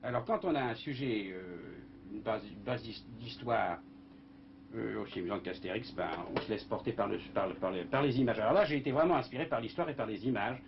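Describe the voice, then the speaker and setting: A man, a film composer, in a casual interview, talking in a lively, rambling way and imitating sounds with his mouth, on a dull old broadcast recording with faint hiss. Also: on the low side, a little fast, vocal fry.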